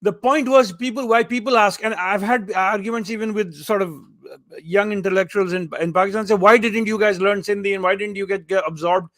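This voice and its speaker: A male host leading a conversational interview, often affirming and cutting in briskly. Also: high voice, a bit bright, no room sound.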